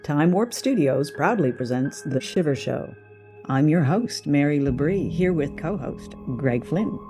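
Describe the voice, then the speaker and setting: A woman hosting a podcast, giving a scripted show introduction with a bright, teasing presenter manner. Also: sits low, animated, measured pace, over music. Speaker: podcast host.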